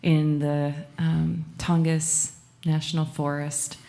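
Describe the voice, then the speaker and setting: A woman's voice: sits low, level tone, slow, creaky, dark tone. A woman from Alaska, a musician introducing a song on a concert stage, speaking reflectively with many hesitations. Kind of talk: casual onstage talk.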